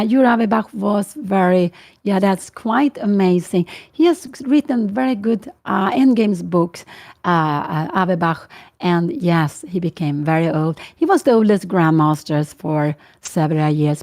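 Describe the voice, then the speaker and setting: Older Swedish woman with a gravelly, mellow voice. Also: lowish voice, lively intonation, a little slow. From Sweden. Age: 60s. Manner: thinking aloud with many fillers and self-corrections. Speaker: chess commentator. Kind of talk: live chess commentary and Q&A.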